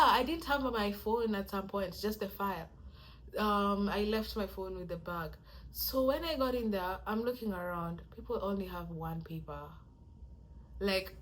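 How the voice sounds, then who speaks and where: A soft-spoken young woman, chatting casually to camera as she tells a story and acts out how people react to her. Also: unhurried, rough recording. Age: young adult. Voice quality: soft-spoken, low-volume voice. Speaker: vlogger.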